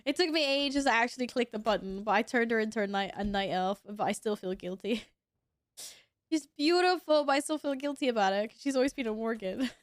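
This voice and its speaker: A woman's voice: fairly high pitch, expressive, fairly quick, dry recording, clean studio sound. A young woman streaming a game, sniffly from sneezing, chatting casually and reacting as she plays. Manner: chatty, reacts to gameplay, reads chat aloud. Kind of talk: casual gaming stream chat.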